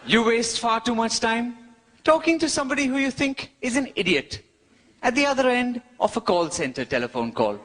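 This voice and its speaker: A man from India with an Indian accent performing a cheeky, wry stand-up routine, pausing for his punchlines. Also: very high voice, lively intonation, a bit bright. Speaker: stand-up comedian. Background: audience laughter.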